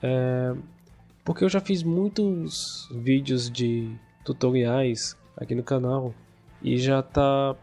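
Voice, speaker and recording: A hoarse young man with a cold, casually talking through gameplay as a gaming youtuber. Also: mid-range voice, takes it slowly. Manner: casual, relaxed chatty narration. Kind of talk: gameplay commentary. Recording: voice over game music.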